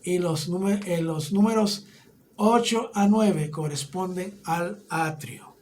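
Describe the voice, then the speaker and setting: Un hombre con acento puertorriqueño que enseña una clase bíblica, explicando paso a paso y preguntando "¿ok?" a menudo. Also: male voice, high voice, takes it slowly, darkish tone, a little hiss or hum. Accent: Puerto Rican Spanish. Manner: explanatory, often checks in with "¿ok?". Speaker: Bible teacher. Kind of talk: teaching a Bible class.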